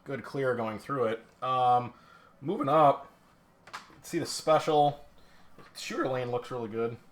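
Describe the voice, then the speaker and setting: A man's voice: mid-range voice, slow pace. Rambling man narrating a pinball teardown. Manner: rambling, lots of fillers and restarts, self-deprecating. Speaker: pinball restorer streaming a teardown. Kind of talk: casual live-stream show-and-tell.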